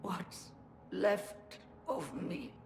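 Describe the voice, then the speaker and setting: A woman voicing a dying, desperate mage in a video game, speaking in halting, laboured phrases. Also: medium pitch, gravelly, a little hiss or hum. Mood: pained, weary. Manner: slow, halting, laboured delivery. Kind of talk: dramatic video game acting. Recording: loud background music.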